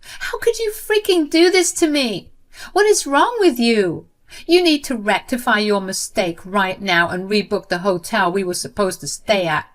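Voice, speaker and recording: A woman's voice: measured pace, close to an everyday voice. A woman voicing an entitled adult sister-in-law who shrieks and demands, in a told story. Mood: shrill, outraged. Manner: shrill and demanding.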